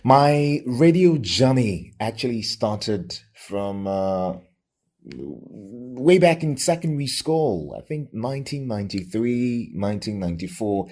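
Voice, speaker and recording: A middle-aged Nigerian man with a Nigerian accent, telling his own story reflectively in an interview with a radio presenter's deliberate, emphatic delivery. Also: medium pitch, lively intonation, a little mellow. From Lagos, Nigeria.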